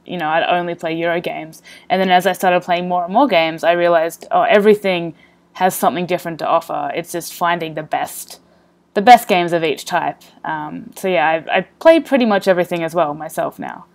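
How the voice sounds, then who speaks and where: Low-voiced woman hosting a podcast. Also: fairly quick. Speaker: podcast host. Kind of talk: podcast interview.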